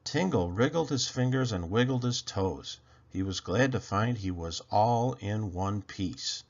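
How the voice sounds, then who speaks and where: A man reading a children's storybook aloud as narrator, with expressive, deliberate and dramatic delivery. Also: on the low side, muffled recording. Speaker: storybook narrator, channel host.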